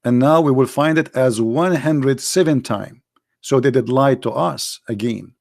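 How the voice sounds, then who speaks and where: A man's voice: mid-range voice, measured pace, creaky, a little mellow, no echo, studio quality. A man whose first language is Arabic, hosting a live unscripted debate in an insistent, challenging manner, often repeating himself. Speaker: host debating a guest.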